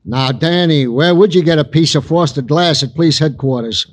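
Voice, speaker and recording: Actor as a high-pitched, earnest radio police sergeant. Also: male voice, normal speed, close to an everyday voice. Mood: puzzled. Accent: American. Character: plain-minded, literal, excitable male police sergeant sidekick. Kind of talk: 1940s radio drama acting. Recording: old 1940s radio broadcast.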